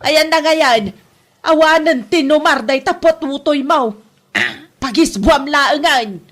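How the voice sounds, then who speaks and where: A woman's voice: high-pitched, takes it slowly. A woman playing a scolding, doubtful mother in an Ilocano comedy drama. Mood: grumbling.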